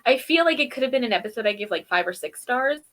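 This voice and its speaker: A woman's voice: fairly high pitch, lively intonation, fast talker, fairly bright tone. A woman co-hosting a casual review, chatting informally with a friend in a giggly, rambling way. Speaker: co-host of a TV episode review.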